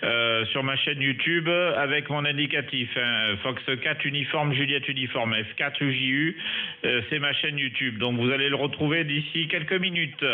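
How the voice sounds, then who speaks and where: A man's voice: medium pitch, normal speed. A man chatting casually as an amateur radio operator, hesitant and repeating short phrases, on a narrow radio sound. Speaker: amateur radio operator, recording for his online channel. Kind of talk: casual ham radio chat.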